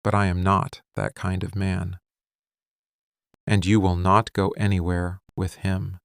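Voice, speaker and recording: A man playing a possessive, brooding mafia boss lover in a scripted boyfriend ASMR roleplay, menacing and commanding, with deliberate dramatic pauses. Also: deep voice, monotone, creaky, no echo, clean studio sound. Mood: menacing, controlled.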